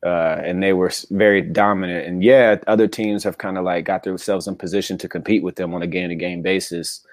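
A man's voice: deep voice, fairly even intonation, a little fast, no echo. A man co-hosting a casual sports chat, talking in a laid-back, slangy, conversational way. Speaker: sports podcast co-host.

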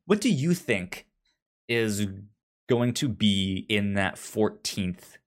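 Co-host for casual book chat: unhurried, halting and stammering, pitch in the middle range. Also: sing-song. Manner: hesitant, stammering delivery. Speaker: podcast co-host.

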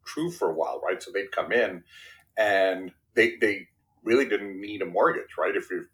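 A deep-voiced man in his fifties, raised in Brooklyn, with Spanish as his first language. He talks casually as a podcast guest. He is self-deprecating and keeps checking in with short tag questions. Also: normal speed, a bit bright. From Brooklyn, New York. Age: 50s. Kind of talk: casual interview conversation.

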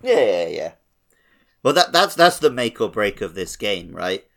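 A man's voice: lowish voice, very animated, unhurried, vocal fry, fairly bright tone. A man co-hosting a podcast, talking casually and conversationally about a video game. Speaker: podcast co-host.

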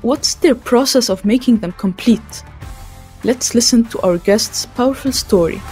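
A woman's voice: on the high side, unhurried, dark tone, husky, soft background music. A woman hosting a podcast, reading a scripted introduction and interview questions. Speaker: podcast host.

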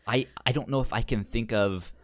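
A man co-hosting a casual film discussion podcast, speaking with a pronounced stutter that repeats sounds and syllables in a halting delivery. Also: mid-range voice. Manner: halting, hesitant delivery with frequent restarts. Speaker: podcast co-host.

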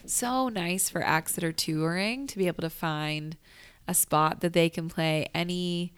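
Casual woman interviewer, slightly low voice. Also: a little slow, clear, resonant tone. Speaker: interviewer, radio show host.

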